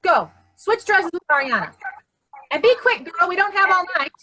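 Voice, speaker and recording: A woman's voice: extremely high pitch, big pitch swings, normal speed, pushed and bright, heavily acted, rough recording. A woman playing a haughty, imperious stepmother who scolds and coos in a children's theatre staged reading. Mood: sharp, scolding. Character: haughty, bossy stepmother, doting on her favourite daughter.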